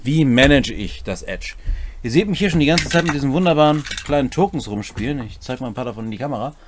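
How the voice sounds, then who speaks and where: Middle-aged man with lively, swinging intonation. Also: medium pitch, quick pace, noisy background. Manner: instructive, addresses viewers directly. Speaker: co-host explaining tabletop game rules. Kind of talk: rules explainer to camera, partly read from script. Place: small cluttered home room, indoors.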